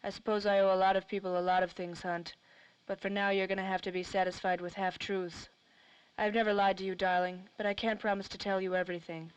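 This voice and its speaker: A woman's voice: medium pitch, flat delivery, a little fast, smooth, no echo, dull audio, natural voice. Cool noir femme fatale, dry close sound woman acting. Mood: guarded, wry. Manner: wry, slangy. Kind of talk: 1930s radio acting.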